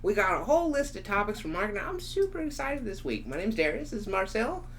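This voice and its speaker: A man's voice: sits high, very animated, fast, a bit bright. A young man co-hosting a casual podcast chat, rambling and laughing often. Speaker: podcast co-host.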